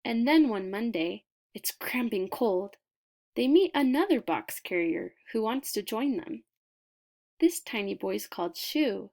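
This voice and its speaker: Slow-paced, higher-voiced woman reading a storybook. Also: expressive, gravelly, darkish tone. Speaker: picture book reader. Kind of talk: expressive children's read-aloud narration.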